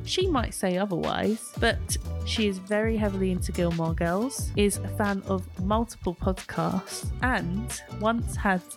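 A woman hosting a casual pop culture podcast, chatty and quick to laugh. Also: mid-range voice. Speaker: podcast host.

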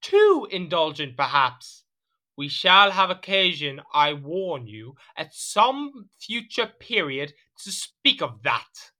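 A man's voice: fairly high pitch, big pitch swings, slow pace, pushed and bright, full character voice. A man playing a haughty, suspicious king in a period audio drama, commanding and formal in his delivery. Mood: pointed, displeased.

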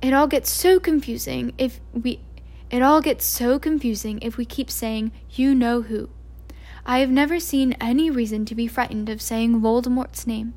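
Kindly old wizard guy, slow and mild, lady reading him aloud. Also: on the high side, steady tone, a little mellow, close to an everyday voice. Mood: gentle. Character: old male wizard headmaster, calm, gently amused.

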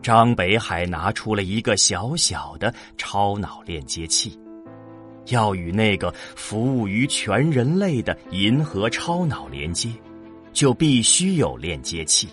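A man's voice: fairly low pitch, very animated, measured pace, fairly bright tone. A man reading scripted narration for a science fiction audio drama. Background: music under the voice.

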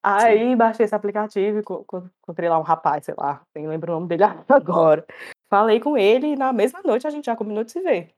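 A young woman telling stories in a casual chat, animated and laughing often. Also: mid-range voice, a little fast. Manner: animated, laughs often, acts out quoted voices. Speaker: storyteller guest.

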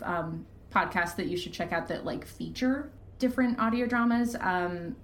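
A woman podcast guest talking casually and candidly, giving informal advice with the odd swear word. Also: lowish voice. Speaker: podcast guest, fiction podcast creator. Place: living room. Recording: roomy echo, faint background noise.

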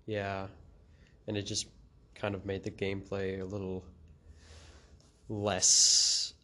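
A man's voice: deep voice, completely flat delivery, slow pace, bright, forceful tone. A teenage man hosting a casual student podcast, talking hesitantly with many fillers and restarts. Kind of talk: casual discussion of a short story and a game. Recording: noisy amateur recording.

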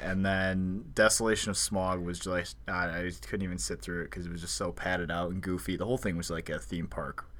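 A young man rattles off quick, offhand remarks as a college podcast co-host. His voice sits fairly low and moves at a brisk clip, with wisecracks tossed in along the way. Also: level tone, a bit bright. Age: young adult. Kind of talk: casual chat.

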